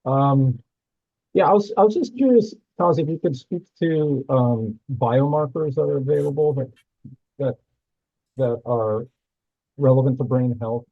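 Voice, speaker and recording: A man's voice: mid-range voice, unhurried, no room sound. A man on a video call asking a question at a webinar, hesitant and often trailing off and restarting. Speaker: audience member asking a question. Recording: husky, dry, phone-like lo-fi line.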